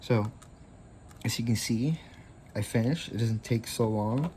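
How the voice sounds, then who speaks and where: A man's voice: medium pitch, measured pace, warm tone, a little hiss or hum. A man in his twenties hosting a casual craft tutorial, rambling easily with lots of fillers. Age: 20s.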